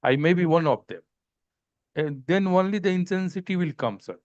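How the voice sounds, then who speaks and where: A man's voice: high voice, expressive, normal speed, darkish tone, dry recording. A man with a slightly raised voice asks his teacher a question over a muffled video call. The audio is dry and dull, and he is deferential. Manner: deferential, says sir often. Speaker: participant asking questions. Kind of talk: online spiritual Q&A.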